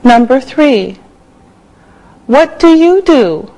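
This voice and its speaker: An older woman from Canada teaching English on a studio set with a lapel mic, dictating words slowly and clearly. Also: sits high, big pitch swings, warm tone, low-quality recording. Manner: slow, clearly enunciated dictation. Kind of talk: slow scripted classroom teaching.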